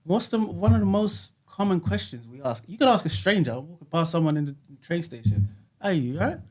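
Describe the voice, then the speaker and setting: A man's voice: a little high, very animated, brisk, darkish tone, breathy edge. A man talking earnestly and reflectively as a podcast guest, often repeating words as he thinks. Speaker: music artist guest. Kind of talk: casual podcast conversation. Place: podcast studio.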